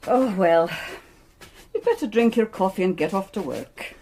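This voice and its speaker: An actress playing an inspector's shrewd, opinionated wife in a radio drama, knowing and gently needling. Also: female voice, mid-range voice, expressive, normal speed. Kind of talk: BBC radio drama acting.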